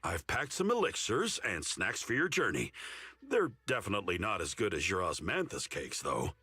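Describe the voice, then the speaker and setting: A man's voice: lowish voice, huge pitch swings, normal speed, bright, forceful tone, breathy edge, no echo, soft background music, exaggerated voice. A man voicing a modest, considerate companion in a video game. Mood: kind, modest. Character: modest, considerate male companion seeing a friend off.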